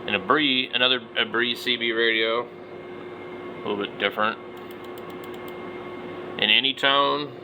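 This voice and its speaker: A man's voice: mid-range voice, fairly even intonation, a little slow, pauses often, background noise. A middle-aged man giving casual, unscripted commentary as a hobby vlogger, blunt and opinionated, with some slangy wording.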